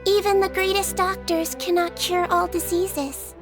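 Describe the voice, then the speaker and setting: A woman voicing a small, childlike Melusine head nurse in a video game, gentle, earnest, polite and measured. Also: very high voice, little pitch movement, over music. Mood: wistful. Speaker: nurse and doctor character.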